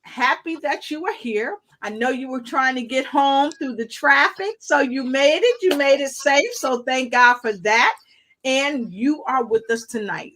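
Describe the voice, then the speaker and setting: High-pitched husky woman hosting a hype show. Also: a bit bright. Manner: rhyming catchphrases, repetition and hype. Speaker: talk show host. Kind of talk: exuberant live online show hosting.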